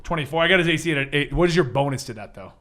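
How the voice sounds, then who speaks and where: High-pitched animated male game master. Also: very fast. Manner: animated, jokey storytelling. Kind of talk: improvised tabletop game narration.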